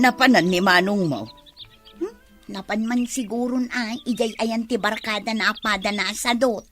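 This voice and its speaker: A woman's voice: mid-range voice, a bit bright, close to an everyday voice. A woman playing a disapproving, nagging village mother in a comedy radio drama. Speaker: actor. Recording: faint noise with chirping birds. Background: birds chirping.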